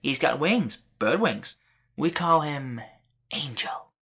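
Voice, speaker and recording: A woman's voice: deep voice, expressive, slow pace, fairly bright tone, slightly rough, full character voice. A woman playing a cheeky, boastful sixteen-year-old boy in an audio drama, casual and brash. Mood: boastful, teasing.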